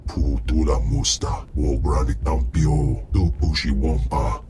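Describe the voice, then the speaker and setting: A man voicing a blustering alien crime boss in a video game, slow, weighty and imperious, in an invented alien tongue. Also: very deep voice, background noise.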